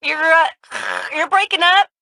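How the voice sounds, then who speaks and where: An actress playing a playful, evasive ally in a game, talking in a halting, cheeky way. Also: female voice, very high-pitched, fairly even intonation, bright, forceful tone, dry recording, exaggerated voice, rough recording. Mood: mischievous. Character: playful, evasive female ally feigning a bad connection. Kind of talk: scripted game voice acting.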